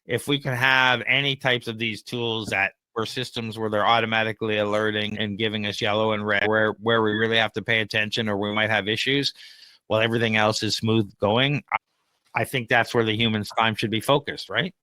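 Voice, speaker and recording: Guy on a podcast chatting his opinions, bright crisp voice, really dry close sound. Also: on the low side, level tone, a little fast, no room sound, poor audio. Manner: conversational, explains with examples and acted-out asides. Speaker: podcast co-host and AI consultant. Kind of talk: casual opinionated podcast discussion.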